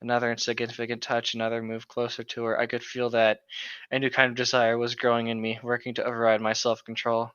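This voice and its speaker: A man's voice: medium pitch, completely flat delivery, quick pace, a bit bright, clear, resonant tone. A man co-hosting, moving between casual banter and reading aloud, on a slightly lo-fi microphone. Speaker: co-host reading a novel aloud. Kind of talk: casual joking banter and read-aloud narration. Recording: slightly dull, lo-fi mic.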